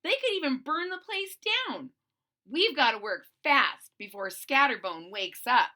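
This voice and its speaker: An earnest young dinosaur boy from a storybook, voiced by a woman. His voice sits very high and bright and swings widely in pitch. He sounds worried and pleading, a well-meaning kid. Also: big pitch swings, a little slow, full character voice. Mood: urgent.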